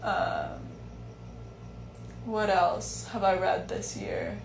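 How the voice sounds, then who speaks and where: A young woman in her twenties answering fan questions in a casual livestream from home, relaxed and chatty with lots of fillers. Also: lowish voice, drawn-out words, vocal fry, a little hiss or hum, poor audio. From Iceland. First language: Chinese. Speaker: musician hosting a livestream Q&A. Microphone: phone livestream.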